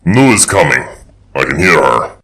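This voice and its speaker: A man's voice: very deep voice, very animated, moderate pace, a bit bright, exaggerated voice. An actor playing a huge, proud, good-natured strongman in an audio adventure drama, formal and a little boastful. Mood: dutiful.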